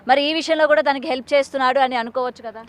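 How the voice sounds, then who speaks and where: A woman's voice: high voice, fairly even intonation, very fast. A woman working as a television interviewer, pressing her guest with persistent, probing questions.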